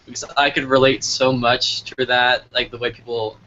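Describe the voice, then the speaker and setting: A man with a bright, forward voice works as an interviewer in a relaxed, conversational style. His medium-pitched speech comes through a slightly dull recording with faint noise behind it. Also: normal speed. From New Mexico, United States. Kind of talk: casual interview chat. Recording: muffled lo-fi sound with background noise.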